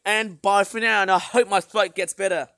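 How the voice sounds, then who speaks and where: Hoarse rambling garden vlogger, dry sound. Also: medium pitch, moderate pace, no room sound. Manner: rambling, filler-heavy, excitable. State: hoarse, sore throat. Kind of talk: casual garden tour narration. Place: outdoor backyard garden. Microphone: tablet filming.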